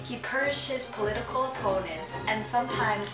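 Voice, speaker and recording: A woman's voice: a little high, moderate pace, natural voice. A woman playing an earnest, theatrical TV news magazine anchor, reading scripted narration and questions in a formal announcer manner. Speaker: mock news host and interviewer. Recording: echoey room, distant mic, loud music.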